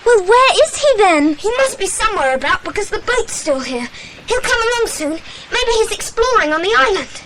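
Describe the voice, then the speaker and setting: A woman with a British accent playing an eager, anxious child in an adventure audio drama, excitable and earnest. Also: very high-pitched, moderate pace, heavy background noise. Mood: anxious calling. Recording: loud background sound effects.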